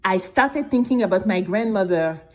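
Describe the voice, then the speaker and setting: A woman playing a brave young girl who tells her own story in an expressive, exclamatory way for a children's audio drama. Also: mid-range voice, close to an everyday voice. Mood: distressed. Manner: expressive, exclamatory, with drawn-out emphatic words.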